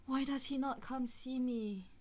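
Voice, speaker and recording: Woman voicing a high, sorrowful maiden ghost. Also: a little slow, darkish tone, a little hiss or hum. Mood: plaintive questioning. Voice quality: high, girlish. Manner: plaintive, pleading, melodramatic. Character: sorrowful, resentful young maiden ghost in bridal dress. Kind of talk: amateur audio drama.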